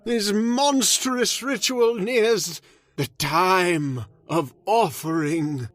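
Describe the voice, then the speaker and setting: A man's voice: high-pitched, very slow, no room sound. An actor playing a fearful, pious old male servant with solemn, ominous warnings in an old-time radio horror play. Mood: dread. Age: older.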